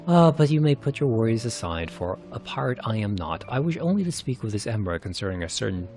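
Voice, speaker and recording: A man's voice: lowish voice, sing-song, quick pace, a little mellow, faint music behind. Male reader as a polished, self-assured fantasy game adventurer; formal old-world phrasing, swooping intonation. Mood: suave, assured. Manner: formal, archaic, theatrical phrasing. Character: polished, confident, courteous adventurer seeking a wanted pirate. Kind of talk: narrated fantasy game dialogue.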